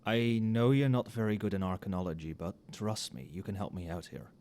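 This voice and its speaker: A man playing an overworked magic professor in a casual, soft-spoken ASMR roleplay, muttering with dry sarcasm. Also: on the low side, brisk, a little hiss or hum, lightly acted. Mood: friendly, confiding. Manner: casual, muttering, dryly sardonic. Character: wry, overworked male magic professor grading papers late at night.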